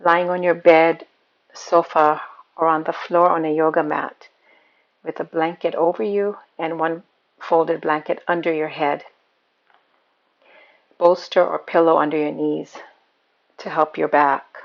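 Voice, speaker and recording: A woman guiding a meditation as a yoga nidra teacher, speaking in a calm, soothing, unhurried manner. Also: low-pitched, gravelly, dull audio. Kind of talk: guided meditation.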